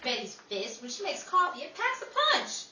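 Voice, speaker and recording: A woman's voice: high voice, big pitch swings, moderate pace, a crowd in the background. A woman playing a sarcastic, worn-out showgirl who wisecracks in a 1930s radio comedy. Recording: noisy, echoey live hall, far mic.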